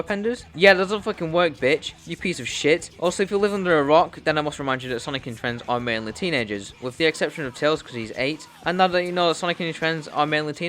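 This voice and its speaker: A man's voice: a little high, fairly bright tone. A man hosting a YouTube commentary video, talking in a blunt, informal, sweary way as he reads a loose script. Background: music.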